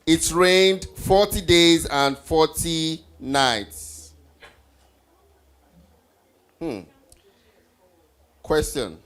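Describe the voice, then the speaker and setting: An encouraging leader runs a live children's Bible quiz at a slow pace. The voice sits at a medium pitch, putting questions to the group and echoing the answers back. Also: a bit bright, clear, resonant tone. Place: live group event with children before a stage.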